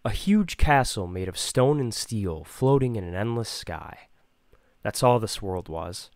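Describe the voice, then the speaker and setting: A man's voice: lowish voice, lively intonation, unhurried, darkish tone, no room sound, studio quality. A man doing scripted narration and reading aloud as an amateur voice actor, with a self-deprecating manner. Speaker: amateur voice actor presenting a demo reel.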